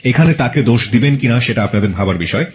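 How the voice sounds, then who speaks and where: A man's voice: mid-range voice, expressive, fast talker. A man with a Bangladeshi accent hosting a radio interview, speaking analytically to guest and listeners and mixing in English phrases. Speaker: radio show host and interviewer.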